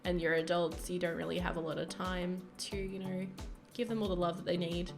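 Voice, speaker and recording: A young woman chatting casually over a game build video, rambling and giggly with lots of fillers, her voice croaky from early morning. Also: fairly low pitch, flat delivery, fairly quick, music in the background. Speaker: gaming YouTuber narrating a build.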